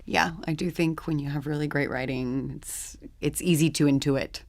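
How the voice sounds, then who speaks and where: A woman's voice: deep voice, fairly even intonation, normal speed, darkish tone. A woman guest chatting casually in a panel interview.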